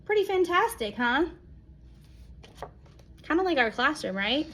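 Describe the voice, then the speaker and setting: High-voiced woman reading a storybook aloud. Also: a little hiss or hum. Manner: animated, acts out exclamations, dramatic pauses. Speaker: read-aloud storyteller, teacher. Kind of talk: expressive children's picture book read-aloud.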